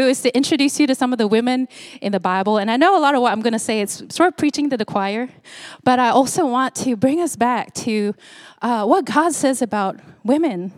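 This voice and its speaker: A woman in her forties from Singapore delivers a sermon in an easy, conversational tone, often pausing on fillers and restarting her phrases. Her voice sits slightly high for a woman. The sound is close and dry, with no room around it. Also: dry recording. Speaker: pastor.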